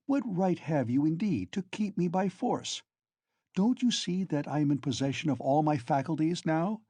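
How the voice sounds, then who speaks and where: A man's voice: a little high, warm tone, breathy edge, dry recording, voice pushed up. A man reading an audiobook, voicing a volatile, feverish young man who mocks and pleads bitterly. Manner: mocking, then pleading in a frenzy.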